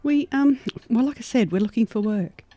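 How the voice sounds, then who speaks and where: A woman's voice: a little high, animated, moderate pace, mellow. A woman playing a bold, fierce werewolf prospecting in the Old West in a horror audio drama, confident and wry. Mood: confident, polite.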